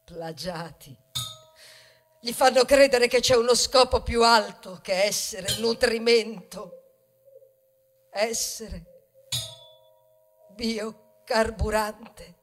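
An actress playing a tender, grieving mother corn cob in a poetic theatrical monologue, moving between hushed and impassioned delivery. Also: mid-range voice, steady tone, slight background noise, lightly acted. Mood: hurt, subdued. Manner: slow dramatic delivery, swinging from hushed to impassioned. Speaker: stage actress.

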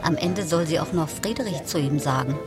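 An actress playing a dry, ironic narrator in a scripted radio drama. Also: female voice, low voice, normal speed, loud music behind the voice, natural voice. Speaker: narrator in a chorus.

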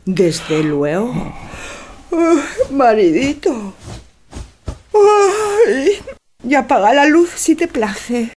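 A woman's voice: high voice, sing-song, drawn-out words, long pauses, darkish tone, full character voice. Woman acting a languid, coquettish young bride. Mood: languid, amused. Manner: coquettish, cooing, teasing. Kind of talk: stage-like radio drama acting.

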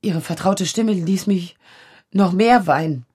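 A low, strongly husky female voice for a radio drama. She is a woman in her thirties, vulnerable and searching, speaking in a natural, breathy way. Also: unhurried, a little mellow. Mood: haunted, remembering. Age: 30s. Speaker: lead actor.

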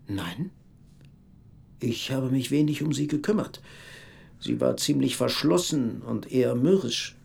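An actor playing a guarded, politely evasive middle-aged man with a husky, gravelly voice in a radio play. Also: medium pitch, lively intonation, measured pace, pauses often, darkish tone, full character voice. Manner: formal, evasive.

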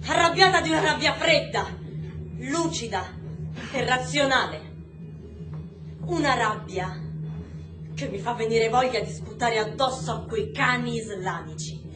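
A woman playing a bitter, grieving journalist with cold, lucid rage, delivering a deliberate theatrical monologue with emphatic repetitions. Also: a little high, background noise, close to an everyday voice. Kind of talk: theatrical monologue over music. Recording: roomy stage recording with music.

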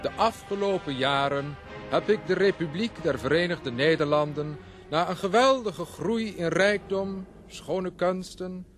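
Over clear music, a grave eyewitness of history. His slightly high voice gives a formal, measured account in a radio drama. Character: grave observer of a republic's ruin.

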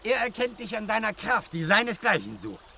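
Watchful courtier, calling out, high swooping voice, radio play. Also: male voice, sing-song, moderate pace, vocal fry, a little hiss or hum, heavily acted. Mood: hailing. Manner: calling out loudly. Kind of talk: German fantasy radio drama acting.

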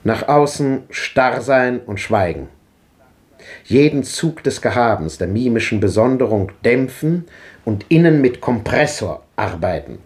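An actor playing an old man who delivers a measured, lecturing philosophical monologue in a 1950s radio drama. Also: mid-range voice, animated, a little mellow, lightly acted. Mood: musing.

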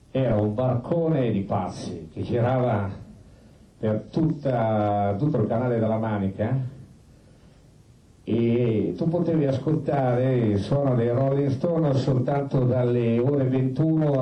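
A man telling stories in a live theatrical monologue, conversational and colloquial, with halting repetitions. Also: mid-range voice, highs cut off. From Italy. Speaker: stage storyteller. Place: live performance space with musicians. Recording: roomy live stage pickup, distant, faint noise.

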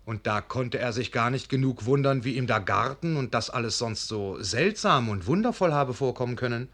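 An actor as an urbane, expressive narrator telling a literary tale in a radio drama. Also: male voice, medium pitch, moderate pace. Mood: wry, measured. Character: urbane, expressive male storyteller.

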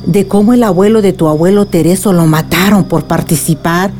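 Woman playing elderly village grandmother, Mexican accent, mellow tone, tender, consoling storyteller, radio drama, faint music. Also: lowish voice, brisk, natural voice. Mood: solemn, reminiscing.

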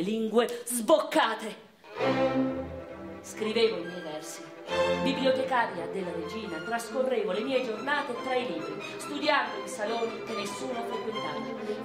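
A woman playing a passionate eighteenth-century noblewoman poet in a theatrical first-person monologue, with deliberate, expressive phrasing. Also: a little high, close to an everyday voice. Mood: bitter, scornful, then tender, happy. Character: passionate 18th-century noblewoman poet recalling her life. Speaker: stage actress performing a radio theatre monologue. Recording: echoey room sound with music behind.

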